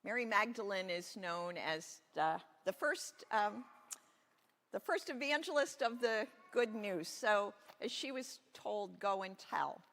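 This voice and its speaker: A woman pastor telling a Bible story to children in a gentle, expressive storytelling way with deliberate emphasis. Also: mid-range voice. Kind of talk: children's sermon, reading a Bible story aloud. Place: large reverberant church space. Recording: echoey hall with long reverb.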